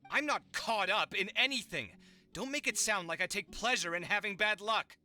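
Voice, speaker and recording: A male actor playing an earnest, easily flustered young genius in an anime video game, expressive and a little dramatic. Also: high voice, moderate pace, faint music behind. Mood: indignant.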